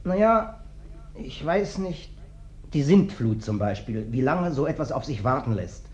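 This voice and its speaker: An actor playing a huge ex-wrestler turned vagrant in a radio play, ingratiating, sly and sentimental, with a wheedling, over-polite, folksy manner. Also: male voice, mid-range voice, big pitch swings, measured pace, darkish tone. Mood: musing. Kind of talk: classic German radio play acting. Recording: dull, band-limited old radio recording.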